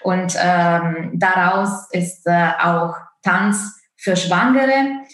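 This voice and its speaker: A woman's voice: on the low side, very slow, fairly bright tone, reverberant. A woman from Italy whose first language is Italian, speaking with an Italian accent as an interview guest, hesitant and full of fillers. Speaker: guest, dance course founder. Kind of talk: interview answers.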